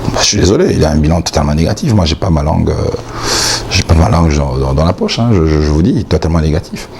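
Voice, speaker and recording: A Congolese-accented man speaks in a very low, gravelly voice with a dark, mellow tone. He is blunt and emphatic as an interviewee, hammering his points by repetition. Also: animated, fast talker, a little hiss or hum. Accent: Congolese French. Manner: blunt, outspoken, emphatic, repeats points for stress. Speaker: sports commentator being interviewed. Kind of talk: opinionated interview talk.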